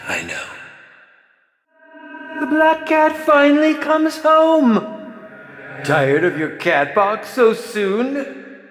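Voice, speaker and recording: An actor playing a sinister, flamboyant horror film director, gleefully sadistic and mocking, in theatrical video game villain lines that swing between hushed purring and shouting. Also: male voice, a little high, full character voice. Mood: taunting glee. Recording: echoey reverberant voice over loud music.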